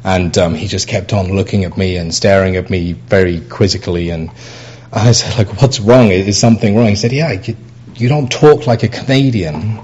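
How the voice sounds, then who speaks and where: A man's voice: low voice, moderate pace, darkish tone, breathy edge, lo-fi sound. A middle-aged man with an English accent preaching a sermon, easygoing and conversational, with many fillers and restarts. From England. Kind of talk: sermon with personal anecdotes.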